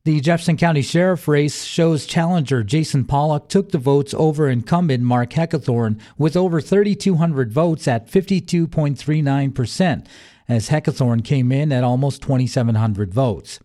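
A man's voice: on the high side, normal speed, mellow. A man reading a scripted local news and sports bulletin as the newsreader. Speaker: local radio news reader.

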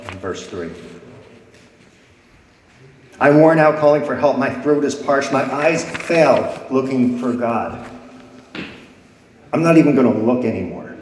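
A man's voice: mid-range voice, darkish tone, slight background noise. Man preaching in an echoey hall. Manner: dramatic reading of scripture, rising and dropping his voice. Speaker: pastor. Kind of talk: sermon. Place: church sanctuary before a congregation. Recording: echoey hall with long reverb.

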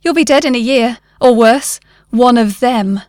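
Woman doing a gruff paranoid old conspiracy guy from a short story, pushy and urgent, close dry recording. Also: a little high, normal speed, a little mellow, dry recording, natural voice. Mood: angry warning. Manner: urgent, emphatic, hectoring. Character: paranoid, gruff conspiracy-theorist man in his late fifties. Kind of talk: short story character dialogue.

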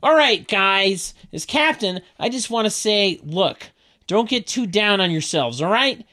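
Man performing amateur team captain, high raised voice, earnest, consoling, sitcom read. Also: animated, measured pace, pushed and bright, exaggerated voice. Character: weary amateur sports team captain consoling his teammates.

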